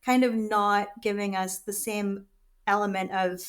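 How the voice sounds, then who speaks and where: A woman's voice: mid-range voice, little pitch movement, measured pace. A woman hosting an interview, talking in a chatty, reflective way with many fillers. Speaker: host, leadership coach. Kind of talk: casual interview talk.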